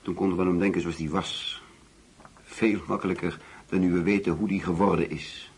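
A man's voice: low voice, steady tone, moderate pace, creaky, breathy edge, full character voice. An actor playing a bitter, disillusioned middle-aged father in a Dutch radio play, blunt and sceptical.

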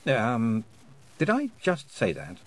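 A fretful talking toad, acted by a male reader gives dry advice in a storybook. He is apologetic and pedantic, hesitating over his words with a lively rise and fall. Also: mid-range voice, takes it slowly. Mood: flustered.

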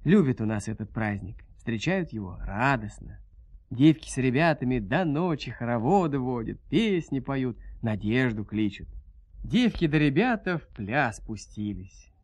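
A man narrates a children's fairy tale in a theatrical, folksy manner. His phrasing is rhythmic and his pitch sits in the middle. The studio recording is close and dry. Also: big pitch swings, a little slow, slightly rough, dry recording. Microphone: close studio microphone.

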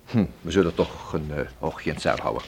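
An actor playing an earnest, cautious police inspector in a Dutch radio drama, with a hesitant but emphatic manner. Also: male voice, very deep voice, expressive, a little fast, gravelly, full character voice. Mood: hesitant, concerned. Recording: radio play with faint background noise.

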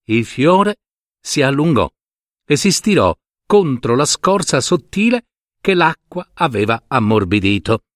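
A man narrating a fairy tale as a storyteller, in theatrical, deliberate phrases. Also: medium pitch, very animated, dry recording, clean studio sound.

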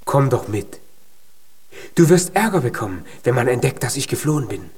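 A man's voice: mid-range voice, big pitch swings, normal speed, a little mellow, slightly rough. An actor in a children's radio play, playing a tiny male giant who is naive, earnest and full of hopeful pluck. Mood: eager, plucky.

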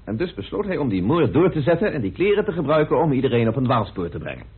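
Husky, deliberate accusing sleuth. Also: male voice, medium pitch, sing-song. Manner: deliberate, accusing, theatrical pauses. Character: methodical male amateur detective confronting suspects, deliberate and insistent. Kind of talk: radio play acting in Dutch. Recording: muffled old radio drama recording.

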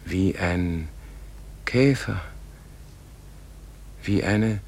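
A slow, frail, weary old man. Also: sits low, gravelly, darkish tone, exaggerated voice. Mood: awed, searching. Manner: slow, halting delivery in short broken phrases. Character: frail, weary, bewildered old Gandhi, gentle and searching. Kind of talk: German radio play acting. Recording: noisy old radio play recording.

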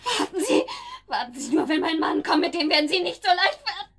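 An actress playing a frightened but defiant young housewife held captive in a radio drama, agitated and stammering with indignation. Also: female voice, very high-pitched, animated, a little fast, vocal fry, crowd noise, heavily acted. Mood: desperate defiance.

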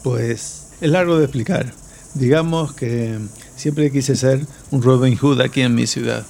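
A man's voice: medium pitch, unhurried, vocal fry, mellow, a little hiss or hum. A man playing a mysterious, welcoming city local and would-be outlaw hero in an audio drama. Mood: welcoming, evasive. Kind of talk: amateur audio drama acting.

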